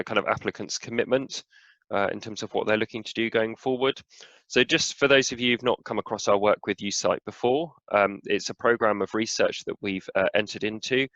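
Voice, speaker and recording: A man with a cold, hoarse as he presents a slide update to a webinar audience, with many "uh"s and "um"s. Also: lowish voice, fairly bright tone, dry recording, dull audio. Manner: filler-heavy, frequent "uh" and "um". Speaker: senior relationship manager giving an update.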